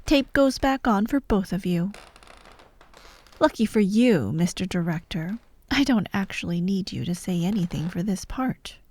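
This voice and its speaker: A woman's voice: mid-range voice, warm tone. A woman playing a vengeful fired actress holding hostages in an ASMR audio drama, taunting and theatrical, often dropping to a whisper. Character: vengeful fired actress, sadistic hostage-taker directing victims.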